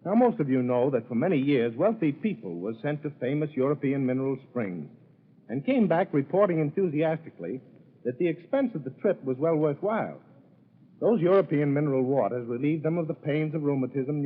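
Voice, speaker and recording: A man's voice: fairly high pitch, breathy edge. A man as a sponsor's announcer reading a formal, solemn 1930s radio advertisement. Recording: tinny, scratchy-voiced 1930s radio recording.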